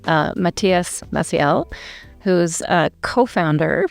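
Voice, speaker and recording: A woman's voice: on the low side, lively intonation, slow pace, creaky, soft background music. A woman hosting a podcast, conversational and friendly with some fillers. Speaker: podcast host.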